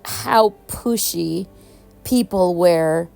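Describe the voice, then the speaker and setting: Young woman travel vlogger from Venezuela, chatty and enthusiastic, slow talker with some vocal fry. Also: fairly low pitch, dark tone, soft background music. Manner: chatty, enthusiastic, laughs often. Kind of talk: casual travel vlog voice-over.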